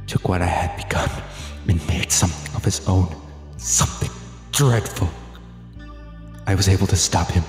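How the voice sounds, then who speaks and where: A man playing a grieving, tormented father, reading out a letter in a solemn, deliberate and formal way. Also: low-pitched, a little mellow. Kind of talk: dramatic letter narration. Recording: echoey long reverb over music.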